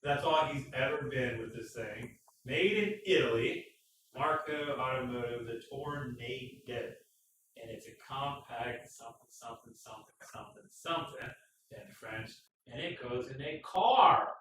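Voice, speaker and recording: A man co-hosting a casual motorcycle live stream, joking and teasing with loud bursts. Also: mid-range voice, a little slow, a little hiss or hum. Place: garage. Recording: echoey garage, far from mic.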